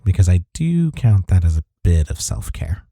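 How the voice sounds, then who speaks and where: A man acts out a gentle, devoted boyfriend in an intimate audio roleplay. His voice is low and deeply mellow, recorded close and dry. He speaks softly and tenderly, reassuring a partner he cares for. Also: big pitch swings, normal speed, no room sound, full character voice. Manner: tender, reassuring, soft-spoken.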